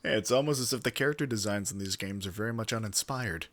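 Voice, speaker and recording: A man's voice: lowish voice, quick pace, very noisy. A man hosting a livestream, giving casual, opinionated reaction commentary in a sardonic, profane way. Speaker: livestream host reacting to a video.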